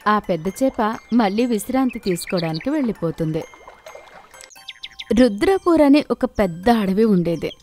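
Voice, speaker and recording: A woman's voice: mid-range voice, lively intonation, normal speed, darkish tone. Woman storyteller, music playing behind. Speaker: storyteller, narrator. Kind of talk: scripted children's story narration.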